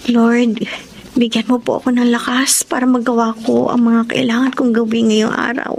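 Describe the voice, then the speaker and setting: A woman's voice: a little high, flat delivery, moderate pace, creaky, darkish tone. An actress playing a hardworking, prayerful young woman, earnest and polite to her elders, in a radio drama. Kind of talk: Tagalog radio drama acting.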